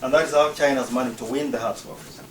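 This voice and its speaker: A man's voice: mid-range voice, fairly even intonation, rapid-fire, a little hiss or hum. Ugandan man explaining, roomy and distant. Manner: explanatory, lists points one by one. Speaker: speaker. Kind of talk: prepared talk. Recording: roomy, distant mic, faint ambience.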